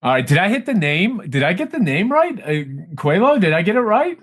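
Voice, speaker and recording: A man hosting a podcast, introducing a guest in a casual, halting way with some stumbles. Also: sits high, very animated, fairly bright tone, slightly rough. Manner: stumbling, filler-heavy intros with restarts. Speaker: podcast host.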